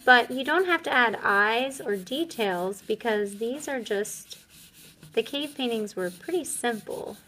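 A woman's voice: a little high, animated, unhurried, bright, forceful tone, slight background noise, rough recording. A woman, an elementary art teacher, demonstrating a craft step by step in a simple, upbeat way for young children.

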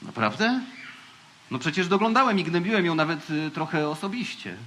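An actor playing a proud, naive sidekick in a radio play, earnest, self-satisfied and a little muddled. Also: male voice, fairly high pitch, big pitch swings, moderate pace, a little hiss or hum. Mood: flustered. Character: proud, naive sidekick boy, loyal to his captain. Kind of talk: theatrical radio play acting. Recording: loud music behind the voice.

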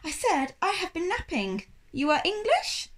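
Storybook French shopkeeper played by a woman: courteous, vague, paunchy man, French accent, high animated delivery. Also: measured pace, heavily acted. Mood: matter-of-fact.

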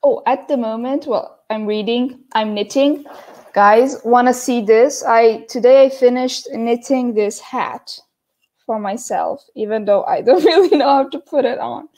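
A young woman on a laptop video call at home, talking with a teacher's patience, slightly higher than most women. She explains slowly and laughs often. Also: warm tone. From United States. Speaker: English teacher. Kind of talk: casual livestream lesson chat. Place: small room at home, indoors. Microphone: laptop mic on a video call.

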